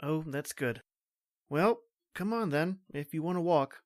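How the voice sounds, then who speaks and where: A woman's voice: deep voice, expressive, unhurried, a little mellow, no room sound, clean studio sound. A woman playing a stoic, protective male police officer in an old-time radio horror drama, brusque and melodramatic. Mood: guarded, matter-of-fact.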